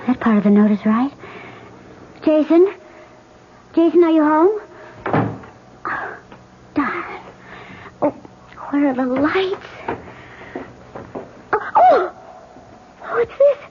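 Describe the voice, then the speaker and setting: Headstrong stage actress, high voice, dull old radio sound. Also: female voice, big pitch swings, slow, long pauses, background noise, heavily acted. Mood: frightened. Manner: headstrong, rising to terror. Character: stage actress, willful and frightened. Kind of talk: 1970s radio drama acting.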